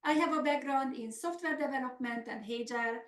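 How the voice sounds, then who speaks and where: A woman's voice: high-pitched, moderate pace, roomy sound. A middle-aged woman from Hungary with a Hungarian accent, hosting a business coaching webinar in an earnest, upbeat, semi-scripted style.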